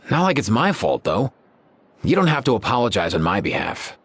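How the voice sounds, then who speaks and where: Highly animated, sardonic young guy. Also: moderate pace, husky, full character voice. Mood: sulky. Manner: sardonic, sighing, expressive. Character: wry, sardonic young man, reluctant brother type. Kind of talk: video game voice acting. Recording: faint music behind the voice.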